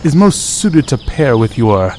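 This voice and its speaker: An actor playing a proud, grudging male dragon lord with a rumbling voice, speaking slowly and reluctantly in an audio drama. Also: big pitch swings, darkish tone, slight background noise.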